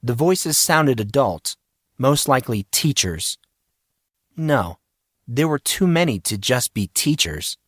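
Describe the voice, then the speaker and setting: A man narrates an audio story in a scripted, dramatic style. His voice sits at a middle pitch, and the recording is dry and close. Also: no room sound.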